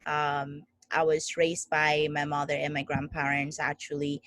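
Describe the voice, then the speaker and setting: A woman's voice: deep voice, completely flat delivery, a little slow, a bit bright, no room sound. A young woman from the Dominican Republic, an interview guest telling her life story in an earnest, grateful way. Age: young adult. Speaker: guest, student founder.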